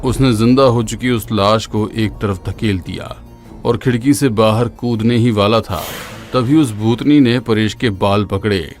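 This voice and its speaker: A man's voice: on the low side, a little slow, a little mellow. Man narrating a horror story. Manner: dramatic storytelling. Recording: faint music behind.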